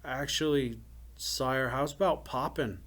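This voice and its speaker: A man's voice: mid-range voice, measured pace, a bit bright. A man voicing a brave, scrappy orphan boy in a storybook, hesitant and deferential, with street-kid phrasing. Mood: nervous pleading. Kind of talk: storybook character acting.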